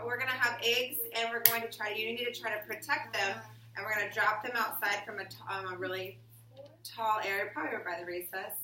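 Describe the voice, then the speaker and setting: A woman's voice: mid-range voice, bright, forceful tone. A woman teaching an elementary class, leading an interactive read-aloud discussion with encouraging questions. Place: classroom with children answering. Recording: roomy, distant mic, faint noise.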